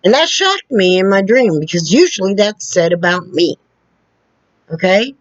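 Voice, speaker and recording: A woman telling personal stories in a casual way, with a loud, carrying voice and a slow, halting delivery full of restarts and asides. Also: mid-range voice, sing-song, dry recording. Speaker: storyteller. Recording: dull lo-fi recording.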